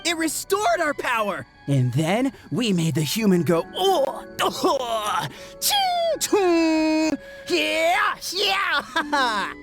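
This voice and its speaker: A small, excitable tanuki spirit from a video game. The voice is high, naive and swings all over, drawn out over clear background music. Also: female voice, very slow, exaggerated voice. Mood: proud, excited. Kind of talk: animated video game acting.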